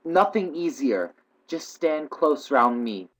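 A brash, boastful English schoolboy who talks his way through everything. A man puts him on, lifting his voice high above his normal register, and he sounds set back from the microphone. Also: very animated, slow pace, heavily acted. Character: bold, cheeky young English schoolboy. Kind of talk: audiobook character voice.

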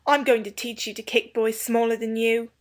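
A woman's voice: fairly high pitch, level tone, normal speed, voice pushed up, natural voice. A woman voicing an indignant, blunt schoolboy who speaks scornfully.

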